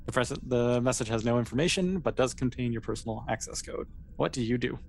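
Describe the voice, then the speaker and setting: A game master narrates a tabletop role-playing session at a rapid pace. The delivery is informal and improvised, speaking straight to the player. Some background noise sits behind the voice. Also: medium pitch, creaky.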